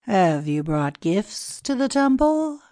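A woman voicing a pompous, scheming male Egyptian priest in an audiobook, speaking in a grand, confiding, measured manner. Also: mid-range voice, very animated, warm tone, no echo, clean studio sound. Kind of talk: audiobook dialogue reading.

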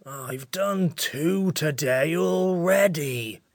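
A cross, drowsy magical sand creature, played by a woman reader. It grumbles slowly in a low, gravelly voice, as a storybook beast. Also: darkish tone, dry recording, studio quality, exaggerated voice. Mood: grumbling. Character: grumpy, sleepy old magical sand creature. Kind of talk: storybook character dialogue.

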